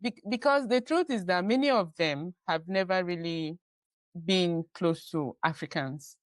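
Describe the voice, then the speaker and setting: A woman's voice: lowish voice, a little slow, no room sound. A woman podcast host in a conversational interview, encouraging, often murmuring agreement and laughing easily.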